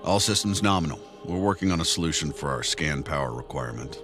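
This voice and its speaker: Game ship commander: rock-bottom pitch, calm brisk status reports, music clearly behind. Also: male voice. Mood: calm, official. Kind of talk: scripted video game radio exchange.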